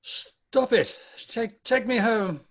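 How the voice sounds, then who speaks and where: Man acting old miser, high-pitched voice, frightened, stubborn, amateur drama table read. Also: expressive, slow, exaggerated voice. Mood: distressed.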